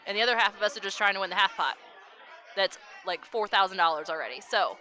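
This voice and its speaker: A woman's voice: medium pitch, fast talker, pushed and bright. A woman giving casual, chatty live commentary on a pool match and answering viewers, laughing easily. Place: loud pool hall with a crowd. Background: crowd chatter.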